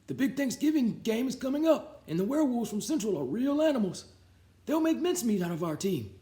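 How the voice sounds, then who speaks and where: A man's voice: extremely high pitch, big pitch swings, fairly quick, mellow, pitched above the performer's own voice. A man voicing an alarmed, grumbling old school janitor from a children's storybook. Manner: exclaiming, worried. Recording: roomy, echoing sound.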